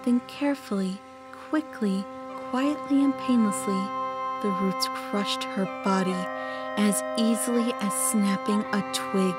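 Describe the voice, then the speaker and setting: A woman playing a mysterious storyteller who tells eerie tales to children in a theatrical, hushed, suspenseful way in an audio play. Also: mid-range voice, measured pace, loud music behind the voice, natural voice. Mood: hushed, ominous.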